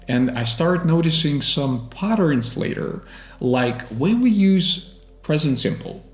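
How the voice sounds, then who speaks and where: Slow-talking Russian-born man, echoey room. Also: medium pitch. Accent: American. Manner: calm, reflective, addresses viewers as guys. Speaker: English teacher and channel host. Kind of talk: personal storytelling vlog.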